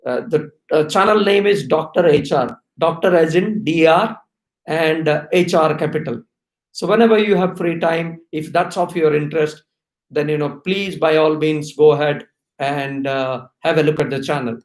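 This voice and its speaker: A man's voice: a little high, normal speed, reverberant. A man in his forties with an Indian English accent, whose first language is Oriya, hosting a live-stream talk in a chatty style full of fillers. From India, Odisha. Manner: chatty, many fillers like "you know" and "uh". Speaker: YouTube channel host, HR professional.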